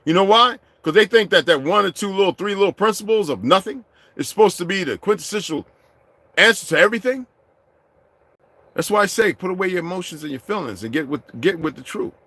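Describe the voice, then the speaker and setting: High, animated, ranting middle-aged man. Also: a little fast, no room sound. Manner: heated, profane, swings between muttering and shouting. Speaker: vlogger. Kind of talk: profane ranting monologue.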